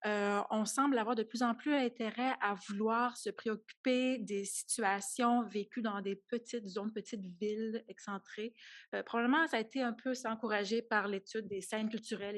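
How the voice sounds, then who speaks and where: A woman's voice: medium pitch, dry recording. A woman talks as a panelist at an academic round table. She hesitates often and restarts her sentences. The sound is dry and close. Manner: hesitant, many fillers and restarts.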